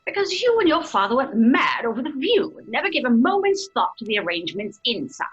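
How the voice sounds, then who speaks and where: A woman's voice: on the high side, very animated, lo-fi sound, soft background music. A woman playing a genteel, chiding middle-aged English mother and hostess in an old-fashioned radio drama, with an English accent. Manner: prim, brisk, proper. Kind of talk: 1930s-style radio drama acting.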